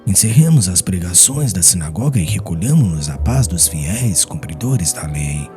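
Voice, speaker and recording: A man acting a stern religious authority in a dramatized audiobook. His very deep voice is animated and formal, pronouncing with command over clear music. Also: normal speed. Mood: stern, dismissive. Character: stern synagogue authority dismissing a preacher. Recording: music behind the voice.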